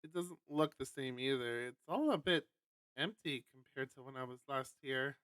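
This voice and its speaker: A man streamer reading a visual novel aloud and adding chatty, animated asides. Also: mid-range voice, normal speed, smooth, no room sound.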